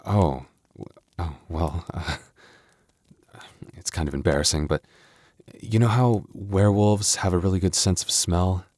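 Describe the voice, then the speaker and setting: A man voicing a gentle young male werewolf boyfriend in an intimate ASMR roleplay, speaking softly and earnestly with hesitant stammers. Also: sits low, measured pace, no echo. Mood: bashful, affectionate.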